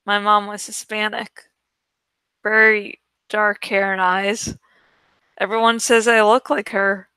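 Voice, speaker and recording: A woman reading a first-person novel aloud in an expressive, dramatic way. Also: medium pitch, takes it slowly, long pauses, clear, resonant tone, no room sound, rough recording. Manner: expressive, acts out the story. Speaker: audiobook reader.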